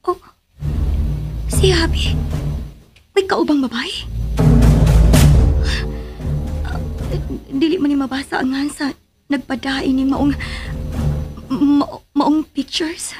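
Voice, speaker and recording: An actress with a Filipino accent playing a loving but uneasy young wife in a radio drama, musing hesitantly. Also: female voice, high-pitched, steady tone, takes it slowly, pauses often, over loud music. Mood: puzzled. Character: loving young wife, uneasy and secretly doubting her husband. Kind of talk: Philippine radio drama acting.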